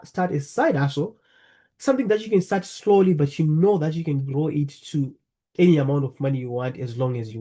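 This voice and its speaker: Zimbabwean man presenting, husky mellow voice. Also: a little high. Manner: earnest, repeats phrases, frequent fillers. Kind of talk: presenting a talk over slides. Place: lives in the UK.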